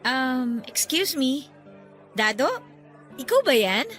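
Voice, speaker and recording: A playful, chatty young businesswoman played by an actress in an audio drama. Her voice is high and very animated, swinging widely as she teases and exclaims. Music plays behind her. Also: takes it slowly, some chatter behind, heavily acted. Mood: surprised, delighted. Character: playful, chatty young self-made businesswoman, reunited old flame. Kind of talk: Filipino audio drama acting.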